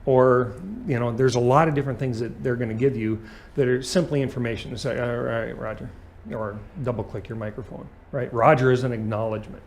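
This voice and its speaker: A man's voice: mid-range voice, steady tone, a little fast, a little hiss or hum. A man teaching an aviation lesson as a flight instructor, explaining things and checking understanding with short questions.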